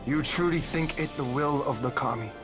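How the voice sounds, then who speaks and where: A man's voice: a little high, moderate pace, music in the background, close to an everyday voice. Stern, stately noble lord, played by a man. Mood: solemn, judging. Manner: stately, solemn, archaic phrasing. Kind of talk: dramatic video game voice acting.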